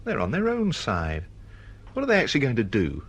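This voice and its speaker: Embittered middle-aged novelist, very animated intonation. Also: male voice, medium pitch, brisk, slightly rough, exaggerated voice. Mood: bitter, glum. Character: embittered, insecure middle-aged male novelist, self-pitying. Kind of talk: naturalistic radio drama acting.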